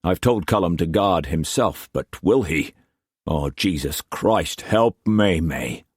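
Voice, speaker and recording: A man voicing a grave, weary merchant with a Scottish accent, speaking low and measured. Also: expressive, husky, no room sound, studio quality, full character voice.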